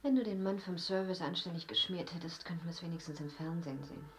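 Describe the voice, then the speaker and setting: A woman's voice: fairly low pitch, fast talker, slight background noise, close to an everyday voice. An actress playing a plaintive woman at home, grumbling to her partner in naturalistic radio drama dialogue. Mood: wistful, reproachful.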